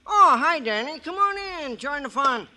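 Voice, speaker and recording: An actor playing an eager, swaggering small-time hoodlum in an old radio crime drama, wheedling and boasting in street slang. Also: male voice, very high-pitched, animated, normal speed, background noise. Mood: boastful, cocky.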